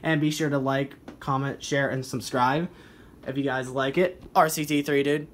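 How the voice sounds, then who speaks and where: A man talks casually in a mid-range voice, as if showing his collection to viewers at home. He halts and thinks aloud as he goes. The amateur handheld recording has clear noise behind him. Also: medium pitch, fairly even intonation, moderate pace. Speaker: hobby vlogger showing a weather radio collection. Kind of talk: casual handheld show-and-tell vlog. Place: indoor room at home. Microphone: handheld camera or phone, behind the lens.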